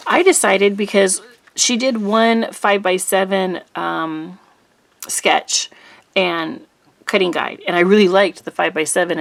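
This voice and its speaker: A middle-aged woman hosting a crafting channel, chatting casually to camera in a rambling way with little laughs and ums, her voice slightly rough from allergies. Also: mid-range voice.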